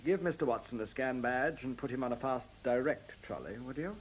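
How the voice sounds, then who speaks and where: A man's voice: medium pitch, a little fast, a little hiss or hum, close to an everyday voice, poor audio. A man playing a polite, hesitant, officious director of a secretive computer plant in a radio play.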